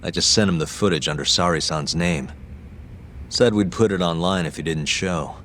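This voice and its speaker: A man's voice: deep voice, moderate pace, fairly bright tone, a little hiss or hum. An actor playing a cool, purposeful male detective in a video game cutscene. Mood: resolute. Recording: faint background ambience.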